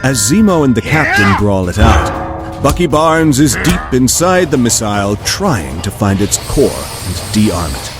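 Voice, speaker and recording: An actor serving as the dramatic storyteller of a scripted superhero audio drama. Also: male voice, medium pitch, very animated, unhurried, loud music behind the voice. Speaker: narrator.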